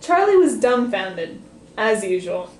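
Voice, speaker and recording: A woman's voice: medium pitch, very animated, a little slow, a little mellow. A woman reading a comic story aloud in a playful, giggly manner. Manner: playful, often breaking into giggles. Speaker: reader. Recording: roomy echo with noise.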